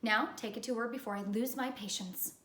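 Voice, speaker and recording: A woman voicing a brisk, firm, easily exasperated female schoolteacher in a storybook reading. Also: a little high, steady tone, a bit bright, lightly acted. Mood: impatient. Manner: firm, instructing. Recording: echoey room.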